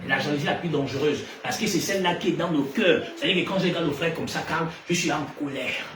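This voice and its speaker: A man from Gabon with a Gabonese French accent, preaching a sermon. He asks rhetorical questions and swings between loud and hushed delivery. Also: on the high side, quick pace. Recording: echoey room, distant mic, noisy.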